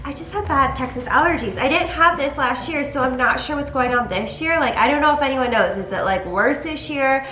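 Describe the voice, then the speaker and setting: A woman's voice: high voice, a little fast, hardly any pauses, sharp tone, echoey room, low-quality recording. A young woman, a mother, chatting casually to camera at home, sounding congested from allergies and rambling with lots of "like". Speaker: vlogger, mother. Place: home, indoors.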